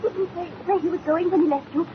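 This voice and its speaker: An actress playing an anxious, emotional young daughter in an old-time radio drama. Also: female voice, very high voice, steady tone, quick pace. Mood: anxious, tearful. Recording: muffled, very noisy old radio recording.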